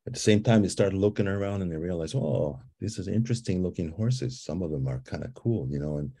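A man from Guatemala with a very deep, husky voice guides a meditation and tells a story. His tone is mellow and unhurried, and he repeats gentle invitations to feel and imagine. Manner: slow, calm and repetitive, with soft repeated invitations. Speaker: ceremony leader guiding a visioning exercise.

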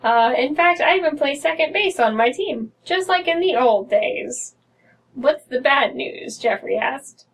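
A woman's voice: sits high, lively intonation, measured pace. A young American woman co-hosting, switching between casual banter and reading stories aloud. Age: young adult. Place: small dim room indoors. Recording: somewhat distant from mic.

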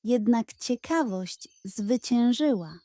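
A woman reads a children's bedtime story as a gentle, expressive storyteller. Her voice is mellow and fairly high, and she takes her time. The recording is close and dry. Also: takes it slowly, no echo.

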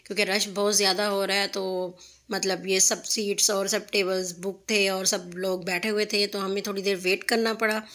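A woman's voice: mid-range voice, a bit bright. A woman in her thirties narrating a family vlog, chatty and explaining at length with many fillers, recorded on a phone at an outdoor restaurant at night. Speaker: family vlogger.

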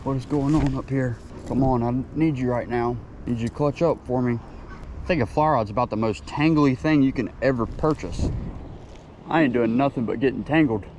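An excitable young man narrates his own fishing trip beside a lake, chattering to the fish as he fights them. His voice is mellow and warm, caught on a chest-mounted camera outdoors with a faint hiss of wind and ambience behind him. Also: medium pitch, normal speed, lo-fi sound. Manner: excitable, talks to the fish while reeling. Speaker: fishing vlogger. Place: outdoors by a lakeside. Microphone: chest-mounted action camera.

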